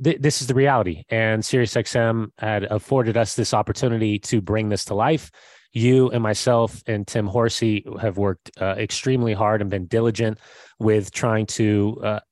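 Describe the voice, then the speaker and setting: A man co-hosting a podcast while sick and losing his voice, telling stories in an animated way with fillers. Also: lowish voice, no room sound. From United States. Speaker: podcast co-host. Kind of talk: casual podcast chat.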